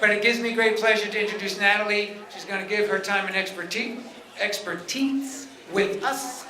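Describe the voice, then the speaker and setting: A man's voice: high voice, steady tone, normal speed, sharp tone. A man hosting a conference, reading a speaker's introduction in an easygoing, jokey way. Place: conference room. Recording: echoey room.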